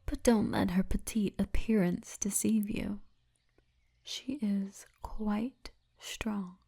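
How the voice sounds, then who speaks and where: A woman's voice: mid-range voice, slow, creaky, warm tone, husky, heavily acted. A woman playing a poised, seductive orc tour guide in an ASMR fantasy roleplay, teasing and often half-whispering. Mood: inviting, teasing.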